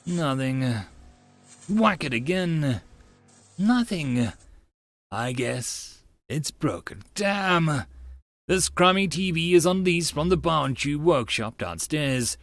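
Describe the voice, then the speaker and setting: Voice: medium pitch, animated, slow pace, no echo. An audiobook narrator giving a theatrical, emphatic first-person reading of an anime-style story. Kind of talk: dramatic first-person reading of a visual novel.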